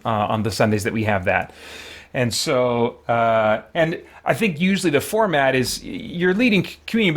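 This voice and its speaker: A man hosting a livestream in a casual, rambling, thoughtful way, often pausing mid-sentence. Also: medium pitch. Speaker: livestream host.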